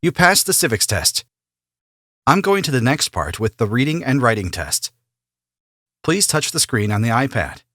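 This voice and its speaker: A man playing an immigration officer who asks formal, steady questions in a scripted practice interview. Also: mid-range voice, normal speed, pauses often, no room sound, studio quality. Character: male immigration officer, businesslike and neutral. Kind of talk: scripted role-play interview.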